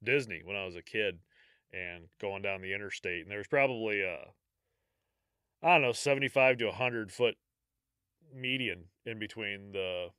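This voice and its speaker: A man's voice: on the low side, pushed and bright, clean tone, no echo, studio quality. An American man co-hosting a podcast, a little congested, chatting casually and laughing through stories with plenty of swearing. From United States. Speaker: podcast co-host. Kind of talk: casual chat.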